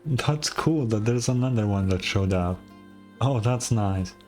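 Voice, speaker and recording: A man's voice: lowish voice, expressive, unhurried, darkish tone, faint music behind. A man giving casual gameplay commentary, muttering half-finished reactions as he plays. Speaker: gameplay streamer.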